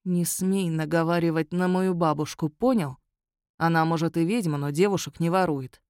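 A woman voicing a dry, unimpressed female magistrate, deadpan and stern with an ironic edge. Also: fairly low pitch, normal speed, smooth, no echo, lightly acted. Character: dry, unimpressed young woman magistrate of a werewolf-control unit. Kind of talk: audiobook character voice.

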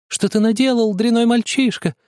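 A man's voice: extremely high pitch, moderate pace, a little mellow, no echo, clean studio sound, pitched above the performer's own voice. A man reading aloud and voicing an angry, tearful older sister who shrieks accusations at her little brother. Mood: furious. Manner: shrieking, accusing, near tears.

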